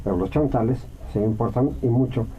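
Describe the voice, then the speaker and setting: A Mexican man speaks as a guest on a radio interview, thinking aloud and weighing each point. His voice sits at an ordinary pitch. The recording sounds a little dull, with a faint hiss underneath. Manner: reflective, thinks aloud with many pauses and fillers.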